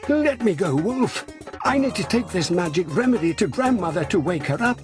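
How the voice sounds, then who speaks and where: Man putting on a high plucky little girl. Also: big pitch swings, moderate pace, over music. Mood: pleading, defiant. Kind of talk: children's storybook character voice.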